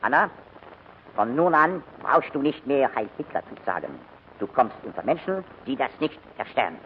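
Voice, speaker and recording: A man's voice: mid-range voice, sing-song, moderate pace, close to an everyday voice. A man playing a curt, menacing major in 1940s radio drama, clipped and commanding. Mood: instructing. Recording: noisy, dull old radio recording.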